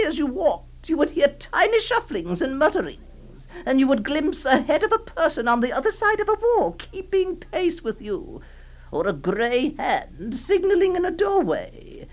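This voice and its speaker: A clingy, possessive aunt, played by a woman, speaks in a very high, sing-song voice that swoops up and down. She lingers slowly over her words, hushed and tremulous, pleading with the little girl in her care. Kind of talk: dramatic monologue reading.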